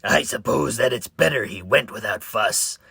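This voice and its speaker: A performer putting on a low, gruff monster pumpkin. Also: expressive, normal speed, sharp tone, dry recording, clean studio sound, full character voice. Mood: gloomy.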